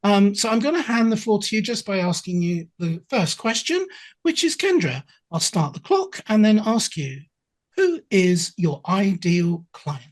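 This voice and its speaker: A middle-aged English woman hosting a business podcast, chatty and effusive. Her voice is husky and a little breathy. Her pitch moves up and down a lot as she talks. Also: big pitch swings, unhurried, darkish tone. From England. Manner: chatty, effusive, with playful asides. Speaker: podcast host and accountability coach. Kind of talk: podcast interview.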